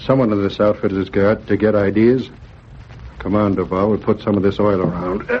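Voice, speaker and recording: An actor playing a ruthless, domineering outlaw leader in an old radio adventure, giving curt, menacing orders. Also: male voice, lowish voice, expressive, moderate pace. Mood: grimly businesslike. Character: ruthless, scheming male outlaw boss, impatient and domineering. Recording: dull, noisy vintage radio recording.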